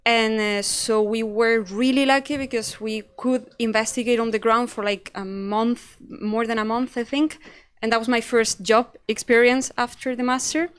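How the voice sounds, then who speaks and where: Smooth-voiced young Spanish woman, chatty. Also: fairly high pitch, fairly even intonation, normal speed. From Spain. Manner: chatty and self-deprecating, acts out her own past thoughts. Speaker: panel speaker, investigative journalist. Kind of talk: casual panel talk to students.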